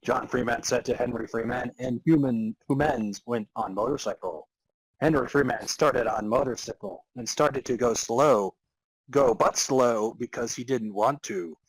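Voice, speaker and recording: A man narrating a comic dramatic reading of fan fiction as a podcast host, halting and amused over broken sentences. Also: medium pitch, breathy edge, no echo, low-quality recording.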